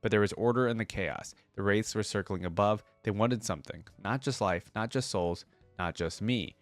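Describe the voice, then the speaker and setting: A man's voice: fairly low pitch, moderate pace, no echo, faint music behind. A man reading a story aloud and chatting casually as a reaction video host.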